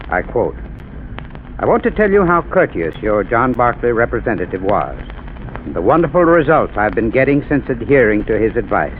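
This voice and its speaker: A man's voice: medium pitch, a little hiss or hum. A man reading a scripted 1930s radio commercial in an earnest, friendly manner. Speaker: advertising spokesman. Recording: tinny 1930s radio broadcast.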